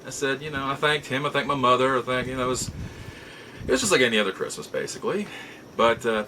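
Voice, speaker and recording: A middle-aged man with a bright, forceful voice at a medium pitch tells a personal story as the host of his own show. He is folksy and conversational, circling back to repeat and reword things. Faint noise sits behind the voice. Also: lively intonation, fast talker. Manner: folksy, rambling, repeats and rephrases himself. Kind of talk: casual personal storytelling to camera.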